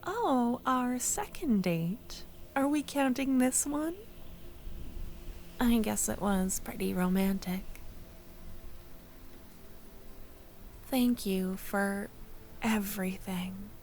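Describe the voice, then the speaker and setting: A woman playing a tender, wistful young childhood friend in a soft-spoken, breathy ASMR audio roleplay, often close to a whisper. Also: medium pitch, slight background noise. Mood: happy, loving. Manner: slow, tender, hesitant.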